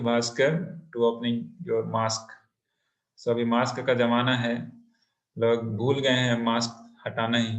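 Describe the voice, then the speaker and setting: An Indian-accented man, a native Hindi speaker, leads an interactive online class. He speaks in an encouraging way and often repeats himself. His mid-pitched voice carries clear room echo from an online call. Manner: mixes English and Hindi, repeats phrases, encouraging. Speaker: online course instructor. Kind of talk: interactive webinar presentation.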